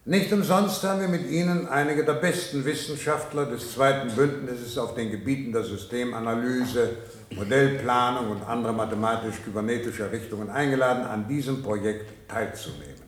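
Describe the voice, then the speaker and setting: A formal, authoritative man, played by an actor, as a bureaucratic project director in a 1970s radio play. He lectures in measured, smoothly evasive phrases, with a roomy echo around his voice. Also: medium pitch, close to an everyday voice. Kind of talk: 1970s German radio drama acting, formal address.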